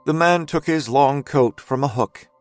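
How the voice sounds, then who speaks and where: Male fiction narrator over soft music. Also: medium pitch, a little slow, dry recording. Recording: faint music under narration.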